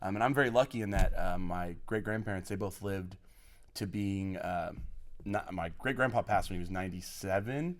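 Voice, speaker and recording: A man's voice: sits low, normal speed. A man from Oklahoma, a guest on a podcast, telling his life story with enthusiasm and plenty of fillers. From Oklahoma, USA. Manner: enthusiastic, effusive, many fillers. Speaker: podcast guest, college program lead. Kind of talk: podcast interview, personal storytelling.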